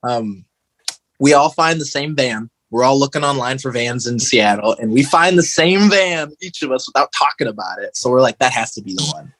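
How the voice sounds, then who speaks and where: A man's voice: medium pitch, expressive, moderate pace, a bit bright, dry recording, low-quality recording. An American man chatting casually as a podcast guest, telling stories in an informal, slangy way. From Michigan, United States. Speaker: podcast guest, metalcore guitarist. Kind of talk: casual conversational storytelling.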